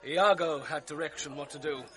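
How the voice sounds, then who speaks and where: Actor voicing a bright, earnest officer reassuring his commander. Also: fairly high pitch, fairly even intonation, a little fast, close to an everyday voice. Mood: assuring. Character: dutiful male lieutenant. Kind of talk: classic radio drama acting.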